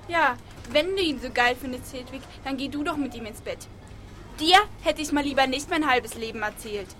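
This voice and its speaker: A woman's voice: sits high, moderate pace, a bit bright, faint music behind. A woman playing a cool, prickly young female songwriter with a curt, snide way of talking in a radio play. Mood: sarcastic, angry.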